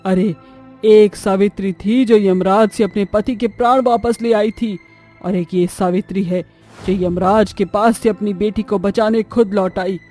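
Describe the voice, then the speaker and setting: A man's voice: sits high, steady tone, unhurried, mellow, soft background music. A man voicing a fiercely protective, vengeful ghost mother turned witch, with menacing dramatic delivery in a horror cartoon. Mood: vengeful wrath. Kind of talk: Hindi horror cartoon acting.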